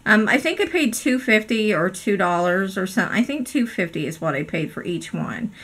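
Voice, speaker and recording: A chatty woman hosts a casual crafting video from her craft room, her voice hoarse from a cold. Her tone is bright and forward, and she keeps apologizing and filling pauses with ums. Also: mid-range voice, expressive. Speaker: craft channel host. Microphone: overhead camera, speaker off screen.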